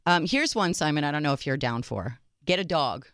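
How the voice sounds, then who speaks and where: A woman co-hosting a radio talk show with a low voice, chatting in a lively, playful way. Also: a little fast, clean tone, no echo, studio quality. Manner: lively, playful, laughs easily. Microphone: studio microphone.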